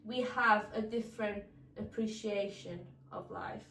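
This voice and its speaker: A woman's voice: medium pitch, fairly even intonation, unhurried, a bit bright, slight background noise. A young woman speaking casually as a guest in a conversational interview. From southern Italy. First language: Italian. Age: young adult. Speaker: podcast guest. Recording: echoey room, distant microphone.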